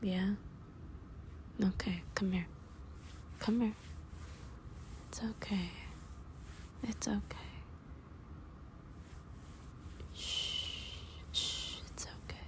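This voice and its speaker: A woman voicing a gentle young man who softly soothes his frightened partner in a hushed, whispery voice, as in a comfort audio roleplay. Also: medium pitch, fairly even intonation, takes it slowly, long pauses. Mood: tender.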